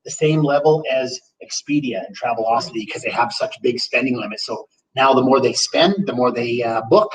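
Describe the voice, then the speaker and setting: A man's voice: medium pitch, a little fast, few pauses, a little hiss or hum. A man from Canada co-hosting a webinar, bantering and joking in casual chat. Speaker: webinar co-host. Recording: distant from the mic.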